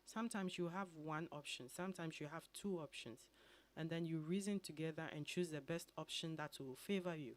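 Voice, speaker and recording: A woman with a Ghanaian accent, an immigration attorney answering questions calmly and methodically as a radio studio guest. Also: sits low. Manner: measured, explanatory.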